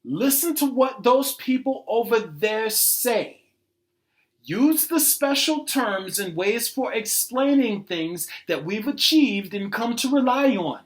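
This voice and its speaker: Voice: mid-range voice, lively intonation, moderate pace, lightly acted. A performer playing an arrogant, self-righteous believer in a satirical dialogue, speaking with emphatic, impatient condescension and swearing.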